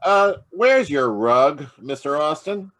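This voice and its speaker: A man's voice: on the high side, big pitch swings, slow, fairly bright tone, no echo, full character voice. A man playing a plain-spoken, slightly hesitant police lieutenant in an old-time radio detective drama. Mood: firm. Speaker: actor. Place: live online video call.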